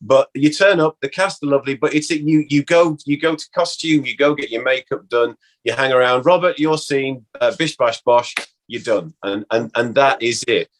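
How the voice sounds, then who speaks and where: Middle-aged British man, halting and chatty. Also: mid-range voice, low-quality recording. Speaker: guest former actor. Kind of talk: casual interview chat.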